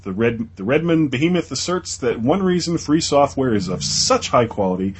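A man's voice: medium pitch, sing-song, normal speed, slightly rough. A man co-hosting a casual podcast, reading news items with wry, sarcastic asides. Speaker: podcast co-host.

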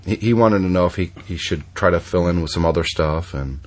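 Very deep-voiced stuffy man hosting. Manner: halting, many fillers and restarts. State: congested, stuffy. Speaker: podcast host. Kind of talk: casual podcast chat.

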